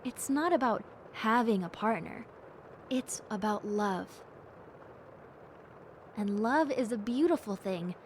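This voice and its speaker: Girl voice actor doing a cute bat girl roleplay, talks real slow, kinda high, soft n playful. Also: lively intonation, slight background noise. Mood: wistful, dreamy. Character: gentle, cheerful young bat girl, recovering from injury. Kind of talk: audio roleplay addressed to the listener.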